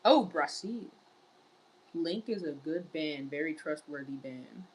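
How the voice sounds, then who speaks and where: A woman's voice: low voice, animated, slow, darkish tone, a little hiss or hum, rough recording. A woman streaming live in casual chat, reading viewer messages aloud and answering them. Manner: wearing large headphones. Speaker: livestreamer.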